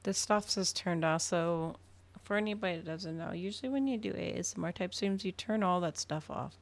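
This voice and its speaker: Woman, lowish voice, very creaky, casual livestream chat, rambling, thinking out loud. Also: brisk. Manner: rambling, trailing off, thinking aloud. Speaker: livestreamer hosting an ASMR and gaming channel.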